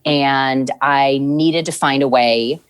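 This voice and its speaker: A woman's voice: very deep voice, slow, clear, resonant tone. A woman in her forties, a TV news anchor, talking casually in an interview and acting out remembered exchanges as she tells her story. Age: 40s. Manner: lively storytelling with acted-out quotes, frequent laughs. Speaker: TV news anchor, podcast guest.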